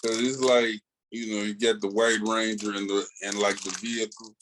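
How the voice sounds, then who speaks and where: A man's voice: lowish voice, level tone, moderate pace, noisy background. A man co-hosting a podcast in casual chat, with a laid-back, slangy, colloquial way of talking. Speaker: podcast co-host.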